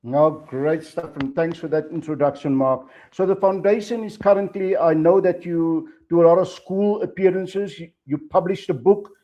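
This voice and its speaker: A man's voice: fairly high pitch, fairly even intonation, moderate pace, hardly any pauses, warm tone, lo-fi sound. A male host thanking his guest and leading into a question. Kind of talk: interview question.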